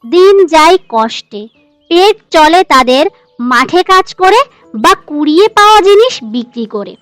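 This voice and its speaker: Lady narrator reading a cartoon folk story, high voice, bit of music behind. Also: lively intonation, normal speed, fairly bright tone, no echo.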